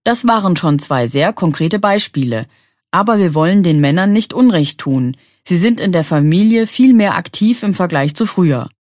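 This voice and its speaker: An actress playing a polite radio show moderator, speaking in a formal, scripted way. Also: female voice, fairly low pitch, expressive, moderate pace, a little mellow, dry recording, clean studio sound. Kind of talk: scripted exam dialogue.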